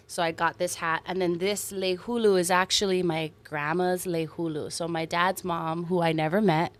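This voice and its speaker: Earnest woman from Hawaii, chatty. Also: on the low side. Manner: warm, earnest, animated storytelling with soft laughs. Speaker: singer-songwriter guest. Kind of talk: casual interview chat.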